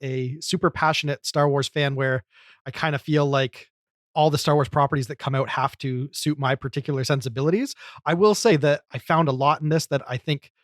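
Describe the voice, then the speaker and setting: A man's voice: on the high side, fairly even intonation, fairly quick, no room sound, clean studio sound. A man co-hosting a podcast, talking casually and at length in a chatty, enthusiastic way. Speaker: podcast co-host.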